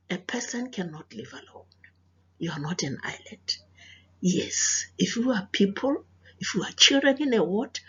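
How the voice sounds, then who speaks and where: A South African woman, a native Sepedi speaker with a husky, breathy voice, reading to children as a teacher, explaining and questioning as she goes. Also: on the low side, muffled recording. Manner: didactic, repeats phrases, questions listeners. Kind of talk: children's read-aloud with moral lesson.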